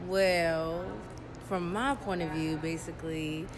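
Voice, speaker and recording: A woman's voice: low voice, slow pace, a loud crowd. A woman in her early twenties talking casually and at length as an interviewee in a shopping mall. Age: early 20s. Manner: relaxed, talkative, explains at length. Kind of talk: casual chat.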